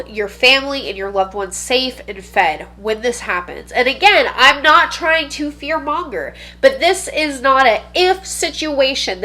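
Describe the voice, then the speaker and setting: A woman's voice: fairly high pitch, a bit bright. A woman vlogger with a stuffy, congested nose, talking to camera in a casual, blunt and profane way, full of slang. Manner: slangy, says "bro" and "dude".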